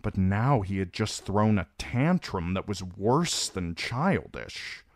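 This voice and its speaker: A man narrates a novel chapter in a very deep voice. His reading is slow and measured, a steady storyteller's delivery. The recording is close and dry, with no room sound. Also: no echo. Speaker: audiobook reader.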